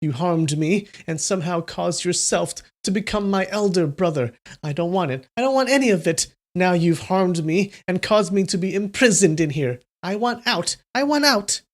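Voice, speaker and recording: A man reading aloud and voicing a distraught young woman in a novel, with a shrill, wailing, petulant delivery. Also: lively intonation, moderate pace, few pauses. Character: young woman, weeping and stubborn.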